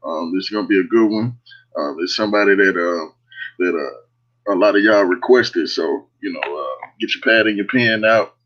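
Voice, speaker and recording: A man's voice: low-pitched, moderate pace. A man co-hosting a livestream, laid-back and casual, greeting the audience with many fillers. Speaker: co-host. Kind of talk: casual chat. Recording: dull lo-fi call audio.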